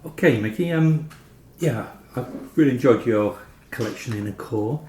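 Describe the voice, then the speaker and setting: A man interviewing a guest conversationally, putting his questions in a tentative, halting way. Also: mid-range voice, a little mellow. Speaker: podcast interviewer. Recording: echoey room, faint background noise.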